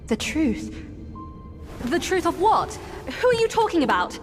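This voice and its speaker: An actress playing a fiery, indignant young noble daughter in a video game, shrill and impassioned. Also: female voice, very animated, a little slow, faint music behind, heavily acted. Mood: startled, demanding. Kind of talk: cinematic video game acting.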